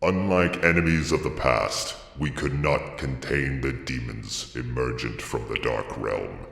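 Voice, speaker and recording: A man voicing a pompous demonic lore narrator who reads grandly and haltingly, breaking off into puzzled asides. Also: a bass voice, gravelly, a bit bright, soft background music, exaggerated voice. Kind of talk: dramatic lore reading broken by asides. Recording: echoey hall reverb.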